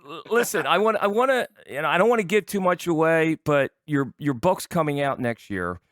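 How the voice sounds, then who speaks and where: A man's voice: a little high, animated, fairly quick, a bit bright, no echo, studio quality. An older man hosting a casual interview show, asking rambling questions in a conversational way.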